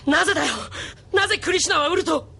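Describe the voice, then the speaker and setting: A man's voice: very high voice, fast talker, vocal fry, bright, forceful tone, exaggerated voice. An actor playing a young male pilot, sulky and emotional over a lost love. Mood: anguished.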